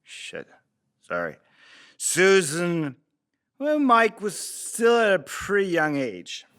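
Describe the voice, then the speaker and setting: A man playing a crude, bitter, drunken father making excuses in a halting, rambling table-read monologue. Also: high voice, huge pitch swings, a bit bright, smooth, exaggerated voice. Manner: slurred, halting drunken delivery with rambling asides.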